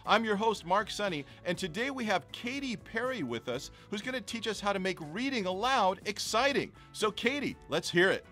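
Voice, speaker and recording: Male presenter, high-pitched and forceful, scripted hosting delivery, light music underneath. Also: animated, moderate pace, few pauses. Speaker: show host. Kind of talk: scripted show introduction and sign-off. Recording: faint music behind.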